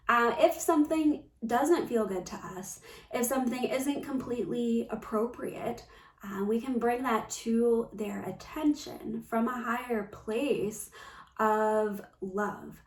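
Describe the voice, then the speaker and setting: A woman with a fairly high voice speaks very slowly, gently and earnestly, pausing within phrases as she shares spiritual guidance. The recording is roomy and echoey, with her some way from the mic. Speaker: spiritual coach. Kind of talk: reflective spiritual talk to camera. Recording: roomy echo, distant from the mic.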